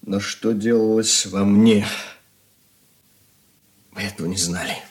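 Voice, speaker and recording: An actor playing a troubled, ashamed young son in an earnest radio drama confession. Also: male voice, lowish voice, fairly even intonation, slow pace. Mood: bitter. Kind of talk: Soviet radio play acting.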